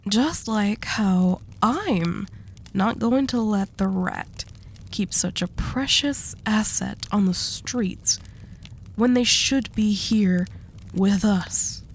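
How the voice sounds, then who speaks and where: A woman's voice: mid-range voice, slow pace, slight background noise. A woman playing a dominant, seductive mafia boss in a roleplay audio drama, teasing and possessive with a coaxing menace. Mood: possessive, menacing.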